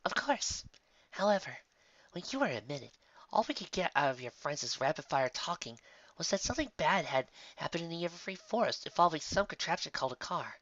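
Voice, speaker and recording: A man's voice: fairly high pitch, very animated, a little fast, fairly bright tone, dry recording, highs cut off, pitched above the performer's own voice. A man voicing a concerned female nurse pony with a caring, professional manner in an amateur story reading. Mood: kindly, inquiring.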